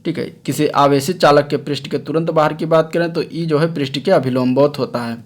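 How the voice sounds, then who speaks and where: Higher-pitched man teaching a science lesson. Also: fairly even intonation, fairly quick. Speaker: science teacher for exam preparation. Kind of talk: explanatory lecture read from notes.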